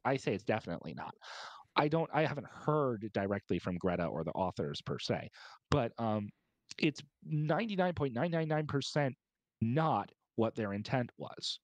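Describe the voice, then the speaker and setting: A man talks on a podcast panel in an ordinary mid-pitched voice. He reasons step by step, at length and with emphasis. The sound is dry, without room echo. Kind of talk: casual panel discussion, explaining at length.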